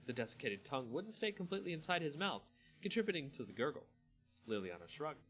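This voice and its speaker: A man hosting a dramatic story reading, expressive in delivery and now and then stumbling and correcting himself. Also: on the high side, fast, soft background music, low-quality recording. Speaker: host reading a fantasy story aloud.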